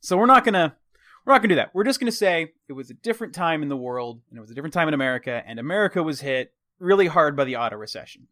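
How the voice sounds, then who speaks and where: A man's voice: fairly high pitch, animated, very fast, a bit bright, dry recording. A man with a slight Texan accent, casually improvising a fictional world's history as a show's host, rambling and correcting himself as he goes.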